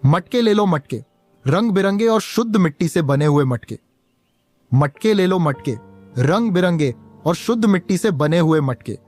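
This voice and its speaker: A man's voice: high-pitched, lively intonation, normal speed, a little mellow, faint music behind. A man voicing a poor, patient village pot seller and father in a cartoon, earnest and plaintive. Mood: hawking wares. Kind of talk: Hindi cartoon story dialogue.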